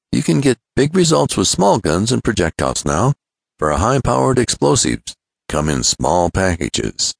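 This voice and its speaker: A man's voice: on the low side, vocal fry, dry recording, studio quality, voice pushed up, exaggerated voice. A man voicing an earnest, confident young male inventor in an adventure storybook, explaining things keenly. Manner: explanatory, keen.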